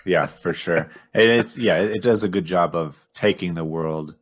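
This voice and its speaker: Deep-voiced man chatting on a podcast. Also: moderate pace. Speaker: podcast co-host. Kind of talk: casual analytical podcast discussion.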